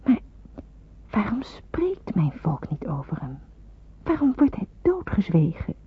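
A woman's voice: mid-range voice, huge pitch swings, a little slow, slightly rough, full character voice. A woman playing an earnest, fervent believer in wartime, delivering a dramatic, intense and reflective radio play monologue. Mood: solemn, moved. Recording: muffled phone-like old radio play recording.

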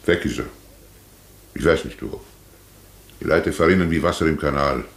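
An actor playing a shrewd, servile delicatessen shopkeeper with a grievance in a German radio play, speaking with oily, theatrical flourishes. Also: male voice, a bass voice, moderate pace. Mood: sly. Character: obsequious, shrewd, aggrieved delicatessen shopkeeper, living alone. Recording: roomy, echoing radio play studio.

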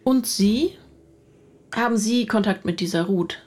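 Measured, matter-of-fact female investigator. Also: mid-range voice, darkish tone, breathy edge. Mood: inquisitive, calm. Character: calm, probing female investigator questioning a witness. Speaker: actor. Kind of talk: naturalistic radio drama dialogue.

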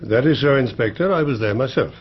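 An actor with a British accent playing a proper, cautious older solicitor in a radio drama, formal and precise in speech. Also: male voice, medium pitch, expressive, brisk, breathy edge. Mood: dry, formal. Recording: slightly dull, band-limited radio recording.

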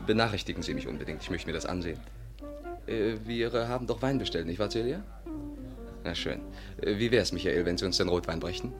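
An actor playing a dry, sardonic gentleman with a wry, unsentimental manner in a 1950s German radio play. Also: low voice, natural voice. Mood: dry mockery. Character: dry, sardonic gentleman companion of a woman sleuth. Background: music.

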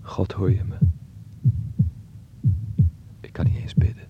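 A man acting a sick, frightened, earnest teenage boy in a radio play, speaking in a halting, intimate, creaky half-whisper. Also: a little hiss or hum, lightly acted. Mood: frightened, pleading. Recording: muffled old radio play recording.

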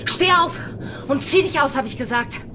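An actress playing a commanding, impatient young female pirate in an audio drama, speaking with sharp insistence. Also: high voice, normal speed, background noise, natural voice. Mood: threatening.

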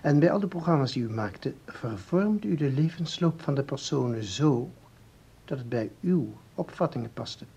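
An actor playing a calm researcher in a Dutch radio play, explaining formally and deliberately with small hesitations. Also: male voice, medium pitch, creaky, slightly rough, highs cut off, full character voice. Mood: patient, explaining. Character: calm, explaining male researcher of time anomalies.

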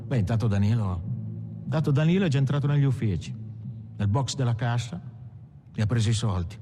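Mellow, measured militant gangster played by an actor. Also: male voice, mid-range voice, lively intonation, faint music behind, close to an everyday voice. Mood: intent, controlled. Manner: measured, clipped, emphatic short phrases. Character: militant gangster coolly recalling armed action. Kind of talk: dramatised first-person testimony. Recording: faint background noise and ambience.

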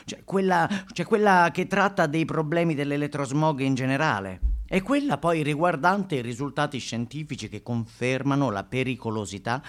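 A man performing a theatrical first-person monologue, conversational and repeating phrases for emphasis. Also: medium pitch.

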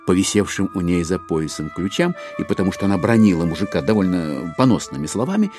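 A man with a lowish voice narrates a literary audio drama. His intonation rises and falls widely, and he places deliberate pauses between phrases. Music plays behind him. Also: big pitch swings.

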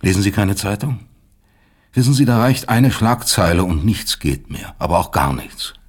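An actor playing a bitter, resigned middle-aged professor in a radio play, lecturing in a measured, sardonic way. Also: male voice, on the low side, lively intonation. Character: disgraced, bitter male professor, philosophical and resigned. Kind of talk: German radio play acting.

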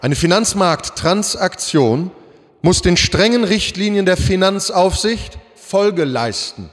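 An actor playing a solemn bank president in a radio drama, giving a stately, formal ceremonial speech. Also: male voice, high-pitched, expressive, takes it slowly. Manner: oratorical, stately, addresses audience formally.

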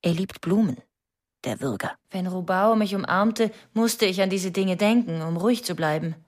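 An actress in a German radio drama playing a distraught, obsessive wife, speaking in an intense, brooding, insistent monologue. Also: female voice, fairly low pitch, lively intonation, normal speed, no echo, studio quality, lightly acted. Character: distraught wife obsessed with her husband, a killer.

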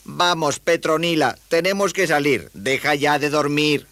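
Man putting on an eager, high-pitched storybook boy. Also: unhurried, fairly bright tone, dry recording. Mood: urging. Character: eager, kindly, cheerful young boy with magic spider friend.

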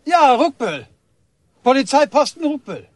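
A village policeman in a radio drama. His voice sits high and close in a dry room. He is dutiful and official, yet flustered, hesitant and a little indignant. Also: big pitch swings, unhurried, no room sound, heavily acted. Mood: startled, eager.

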